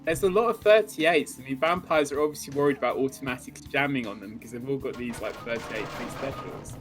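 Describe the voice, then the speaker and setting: A man's voice: medium pitch, fairly quick, music in the background. A man co-hosting a casual gaming playthrough, bantering with wry, mocking asides. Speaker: gaming show co-host.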